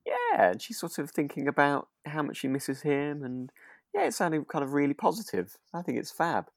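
A man's voice: a little high, huge pitch swings, normal speed, gravelly, no room sound, clean studio sound. A British man co-hosting a podcast, chatting casually in an informal, musing and slightly hesitant way. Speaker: podcast co-host.

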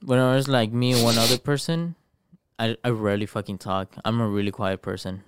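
A man's voice: mid-range voice, fairly even intonation, a little fast, noisy background. A young man from Mexico whose first language is Spanish, chatting in a laid-back, profane way. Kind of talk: casual chat.

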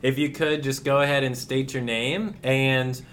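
A man's voice: mid-range voice, level tone, a little slow, a bit bright, a little hiss or hum. Upbeat male teacher hosting. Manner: upbeat, welcoming. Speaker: teacher and podcast host. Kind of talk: school podcast hosting.

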